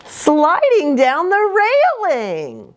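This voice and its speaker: A woman hosts storytime for young children with hugely swooping, animated intonation. She takes her time, asking questions and adding asides as she reads aloud. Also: high-pitched, very slow. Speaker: children's ministry host and pastor.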